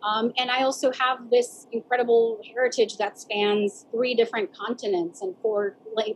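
A woman's voice: fairly high pitch, little pitch movement, moderate pace, a little hiss or hum, low-quality recording. A woman with an American accent reflecting casually on her own life as an interviewee, often saying "like" and "you know". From San Diego border region, United States. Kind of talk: reflective casual personal storytelling.

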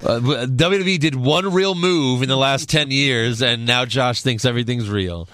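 A man's voice: mid-range voice, moderate pace, a bit bright, dry recording. A man co-hosting a podcast, recapping a wrestling show in casual, sarcastic chat. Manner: laid-back, sarcastic, lots of fillers.

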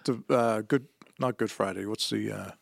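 A man's voice: on the low side, normal speed, gravelly, dry recording, studio quality. A man co-hosting a podcast with casual, crude banter and short profane interjections. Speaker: podcast co-host.

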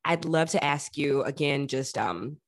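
A woman hosting a casual interview, chatty and expressive, with a self-deprecating way of talking. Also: low voice, a little slow, no room sound, studio quality. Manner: expressive, self-deprecating, acts out quoted thoughts. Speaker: podcast host, actor.

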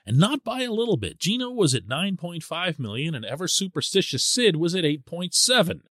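A man hosting a sports podcast as a columnist, giving solo commentary in an animated, emphatic way. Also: high voice, fairly quick, no echo, studio quality. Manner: animated and emphatic, stresses key words.

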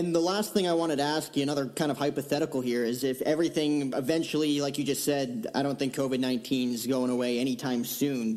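A man's voice: mid-range voice, a little mellow. Casual male interviewer, reverberant. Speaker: podcast host, interviewer.

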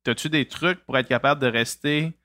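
A man's voice: medium pitch, brisk, bright, forceful tone, no room sound, clean studio sound. A man with a Québécois accent hosting a podcast, asking questions in a hesitant way, with lots of fillers and restarts. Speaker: podcast host. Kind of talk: casual interview.

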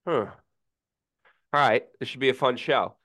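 A man co-hosting a casual sports podcast, sick and feeling rough, with dry deadpan teasing. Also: mid-range voice, moderate pace, no echo. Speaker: podcast co-host.

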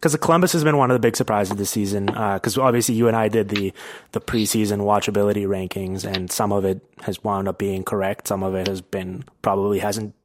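A man's voice: on the low side, steady tone, a little fast. A man hosting a podcast, talking through sports analysis in a casual, conversational way. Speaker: podcast host.

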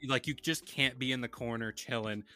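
A man's voice: medium pitch, steady tone, fairly quick, sharp tone, smooth, faint music behind. A man hosting a casual podcast, chatting in a laid-back, rambling way and reading sponsor plugs. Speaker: podcast host.

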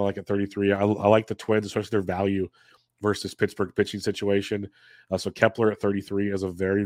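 Congested low-voiced man previewing sports picks. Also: a little mellow, no room sound, studio quality. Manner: conversational, answers live chat, many fillers. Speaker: fantasy sports analyst, show host. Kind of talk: casual solo sports betting preview.

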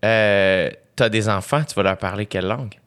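Joking Quebec French podcast host. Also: male voice, on the low side, moderate pace, a bit bright, clean tone. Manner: joking, laughs easily, casual swearing. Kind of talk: casual podcast conversation.

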